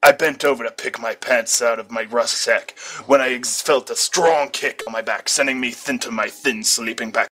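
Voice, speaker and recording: A man's voice: mid-range voice, fairly even intonation, moderate pace, pushed and bright. A man reading fan fiction aloud as an amateur, now and then stumbling over words and correcting himself. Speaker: amateur story reader.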